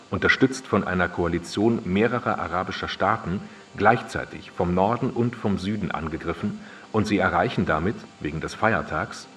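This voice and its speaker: A male narrator delivers scripted documentary text in a deep register. He sounds somewhat distant from the microphone, with music underneath. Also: fairly bright tone.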